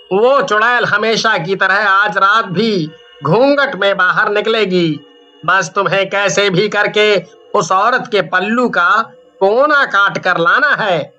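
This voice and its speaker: A man's voice: high voice, bright, forceful tone, lo-fi sound, heavily acted. A man voicing a grave village priest in a cartoon moral story, giving weighty, ominous warnings. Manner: slow, weighty, ominous pronouncements.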